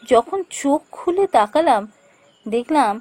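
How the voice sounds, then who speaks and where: Woman acting an anxious high-voiced young office worker. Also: expressive, measured pace, a little mellow, dry recording. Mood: frightened, suspenseful. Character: anxious young female office worker telling her ghost story. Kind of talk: dramatic first-person horror narration for a cartoon. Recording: music under the voice.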